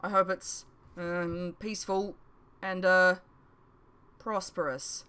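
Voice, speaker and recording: A woman's voice: fairly low pitch, level tone, slow, sharp tone, clean tone. A woman voicing an awkward, sardonic young male student with a hesitant, dry delivery. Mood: awkward, sheepish.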